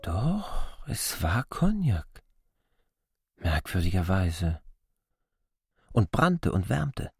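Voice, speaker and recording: A man with speech and a gravelly, husky voice, narrating literature in drawn-out, savouring phrases. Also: deep voice, big pitch swings, no echo, studio quality. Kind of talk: slow literary audiobook narration.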